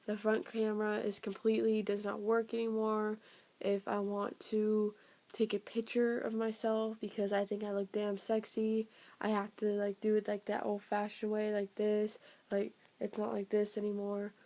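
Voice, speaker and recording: Sniffly young woman with a flat voice. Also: medium pitch, normal speed. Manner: rambling, filler-heavy, trailing off. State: sick with a cold, raspy and sniffly. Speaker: tech vlogger. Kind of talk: casual phone review vlog.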